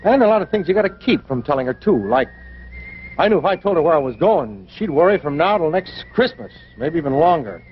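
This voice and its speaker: An actor playing a regretful middle-aged racetrack man, narrating his youth in a folksy, rambling, halting way in a 1950s television drama. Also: on the high side, very animated. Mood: reminiscent. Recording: dull old TV soundtrack, noisy.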